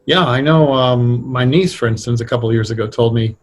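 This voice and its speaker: A man's voice: medium pitch, a little fast. A middle-aged or older man talking casually as an interview guest, explaining things in a reflective, hesitant way. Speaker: guest, radio drama writer.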